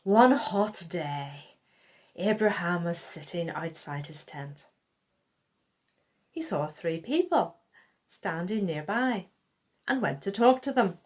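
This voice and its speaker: A woman's voice: on the low side, expressive, takes it slowly, pauses often, darkish tone. A woman reading a children's Bible story aloud, expressively and with acted-out dialogue.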